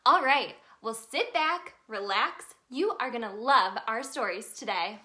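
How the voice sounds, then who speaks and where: A woman reading a children's picture book aloud as a storyteller, in an expressive, sing-song manner aimed at young children. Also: fairly high pitch, unhurried. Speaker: storyteller in character as the Tooth Fairy.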